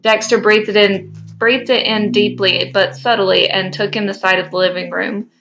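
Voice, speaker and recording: A woman's voice: medium pitch, fairly even intonation, normal speed, vocal fry, a bit bright, rough recording. A woman co-hosting a podcast, reading a story aloud and now and then stumbling over a word. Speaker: podcast co-host. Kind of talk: reading fan fiction aloud.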